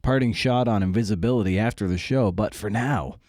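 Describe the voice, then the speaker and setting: A man's voice: on the low side, brisk, darkish tone, slight background noise. A man hosting a podcast in a casual, chatty way with wry, jokey asides. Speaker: podcast host.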